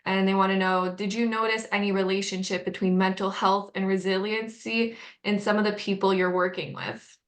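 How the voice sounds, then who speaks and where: A woman's voice: mid-range voice, little pitch movement, normal speed, hardly any pauses. A woman moderating a webinar, steadily reading out audience questions.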